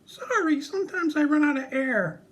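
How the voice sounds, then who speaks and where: A man's voice: extremely high pitch, lively intonation, normal speed, very noisy, pitched above the performer's own voice. A man voicing a vain, easily offended operatic pig puppet in a children's puppet show, with a melodramatic manner. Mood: cheerful. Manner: melodramatic, self-pitying.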